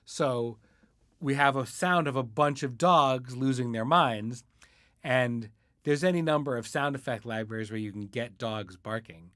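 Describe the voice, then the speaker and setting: A man's voice: medium pitch, measured pace, a bit bright, no echo, studio quality. Podcast host, male, middle register, conversational interviewing, very little room sound.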